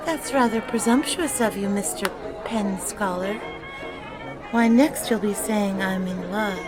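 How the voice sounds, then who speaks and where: An actress playing a spirited young 1920s woman who talks in brisk, teasing period slang. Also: medium pitch, a crowd in the background, lightly acted, poor audio. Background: music.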